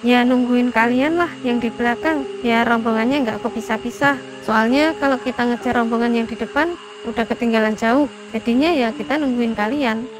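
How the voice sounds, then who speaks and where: A woman's voice: fairly high pitch, normal speed, noisy background, natural voice. A woman playing a friendly, polite and chatty young female hiker in a cartoon. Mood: casual. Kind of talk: cartoon dialogue acting.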